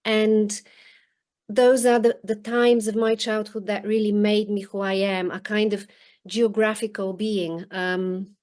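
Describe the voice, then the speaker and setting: Guest author, woman, forties, from Bulgaria; slow and hesitant delivery over a video link. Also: medium pitch, flat delivery, darkish tone. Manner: halting, many restarts and ums. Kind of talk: reflective interview answers. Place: home in rural northern countryside. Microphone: video call.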